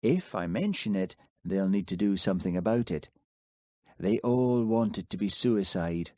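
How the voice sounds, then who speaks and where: A dogged Highland village policeman, as a male narrator puts him on, speaking in a lowish voice with a Scottish Highland accent. He talks in a dry, sarcastic way, and the close, dry recording suits this audiobook. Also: lively intonation, normal speed, warm tone, no echo. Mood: brooding.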